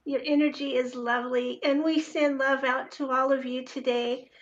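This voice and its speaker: Cheerful high-voiced woman host, lo-fi. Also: level tone, moderate pace. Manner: cheerful, welcoming. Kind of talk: show opening. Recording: dull lo-fi sound.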